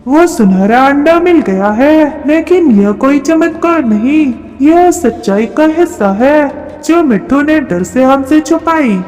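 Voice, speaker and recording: A woman's voice: sits high, expressive, slow pace, hardly any pauses, darkish tone, in a large hall, poor audio. A woman voicing a stern, formal bird king of the forest in a cartoon story. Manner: formal, authoritative. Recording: music behind the voice.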